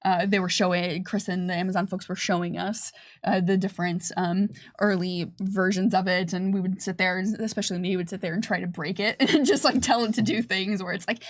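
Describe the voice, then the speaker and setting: A woman's voice: mid-range voice, fairly even intonation, fairly quick. Female game developer for livestream Q&A; mid-range voice, conversational and explanatory, thinking aloud. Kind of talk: unscripted livestream Q&A.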